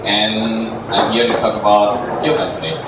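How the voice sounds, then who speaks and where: A middle-aged man from Myanmar with a Burmese accent, speaking informally and a little haltingly as an interview guest. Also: lowish voice, little pitch movement. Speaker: company founder, interview guest. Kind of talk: informal spoken account at a live interview. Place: indoor live meetup event before an audience. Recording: very echoey, noisy venue from far off.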